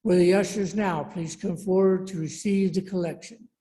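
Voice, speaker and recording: A woman leading a church service, giving announcements and reading a meditation in a measured, deliberate way. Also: lowish voice, steady tone, mellow. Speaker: worship service leader.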